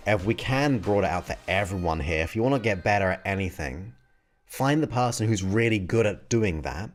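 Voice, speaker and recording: Deep-voiced relaxed male hypnotist host. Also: a little fast. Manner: relaxed, chatty, reads questions aloud and muses between them. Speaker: hypnotist host answering viewer questions. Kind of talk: casual live-stream Q&A chat.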